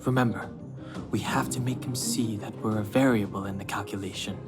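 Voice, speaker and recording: An actor playing a composed, focused young man in a video game, calm, terse and serious. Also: lowish voice, a little fast, over loud music, lightly acted. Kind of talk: video game cutscene acting.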